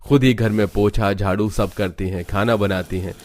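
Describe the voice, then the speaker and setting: A man's voice: on the low side, fairly even intonation, normal speed, darkish tone, no echo. A man reading scripted narration as the storyteller of a cartoon family tale.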